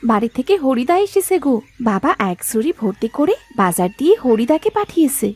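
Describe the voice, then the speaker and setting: A woman voicing a dutiful, chatty young daughter-in-law in a cartoon family drama, expressive and fondly chiding. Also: sits high, fairly quick, breathy edge, full character voice. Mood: pleasantly surprised.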